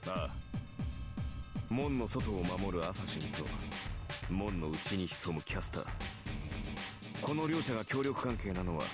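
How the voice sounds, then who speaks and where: A man's voice: low-pitched, animated. A man acting a cynical, confident warrior archer in a visual novel, with cool, sardonic delivery. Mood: probing, confident. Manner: cool, sardonic, deliberate phrasing. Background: game music.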